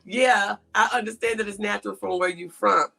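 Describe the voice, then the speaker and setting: A woman's voice: mid-range voice, steady tone, fairly quick, vocal fry, bright, forceful tone, slightly rough, faint music behind. A woman hosting a casual interview, often rephrasing her questions as she goes. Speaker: host.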